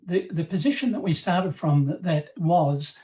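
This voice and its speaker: A woman presenting in a webinar, explaining slides in a measured, formal, semi-scripted way. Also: on the low side, dark tone.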